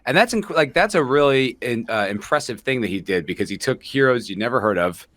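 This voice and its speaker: A man's voice: medium pitch, expressive, brisk, fairly bright tone. A man chatting casually as a co-host, reacting informally and asking questions as a layman. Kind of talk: casual chat.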